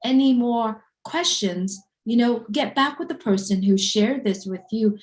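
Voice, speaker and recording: Upbeat middle-aged woman making a pitch. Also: darkish tone, poor audio. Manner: upbeat, emphatic sales talk. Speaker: co-host. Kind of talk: promotional pitch on a live stream.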